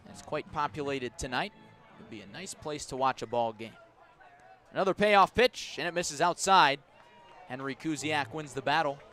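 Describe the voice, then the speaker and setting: A man with a slightly high voice works as a baseball radio announcer. He calls the game live in a measured way, filling the gaps with description and lifting his voice when the action comes. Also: sharp tone, soft background music. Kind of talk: live sports commentary with descriptive asides. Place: outdoor ballpark broadcast position above the stands.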